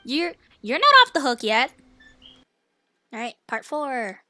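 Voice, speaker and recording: A woman's voice: high voice, big pitch swings, takes it slowly, pushed and bright, dry recording. A woman doing casual gaming reaction commentary in short, affectionate interjections. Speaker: gaming video creator reacting to a story quest.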